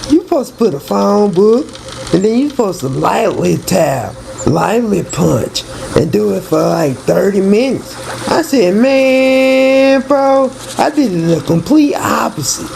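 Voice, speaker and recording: A man's voice: high-pitched, big pitch swings, a little slow, creaky, darkish tone, a little hiss or hum. A man giving a casual, rambling spoken monologue in slang, often repeating words and restarting phrases. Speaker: narrator and storyteller.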